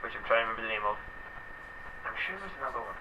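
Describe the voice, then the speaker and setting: A man's voice: medium pitch, animated, racing. A young Scottish man chatting as a guest, rambling with lots of fillers and self-mocking asides. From Scotland. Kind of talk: casual chat. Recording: slightly dull, faint noise.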